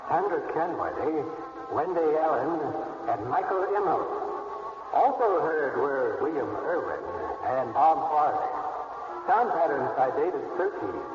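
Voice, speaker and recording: A man playing a gleefully macabre, sly radio horror host, narrating theatrically. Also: fairly high pitch. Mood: sinister, formal. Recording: echoing, dull radio recording with music.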